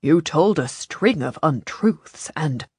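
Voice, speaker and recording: A woman voicing a pious older churchman in an audiobook, grave, measured and gently stern. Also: sits low, expressive, husky, dry recording, studio quality, voice pushed down, exaggerated voice. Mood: solemn.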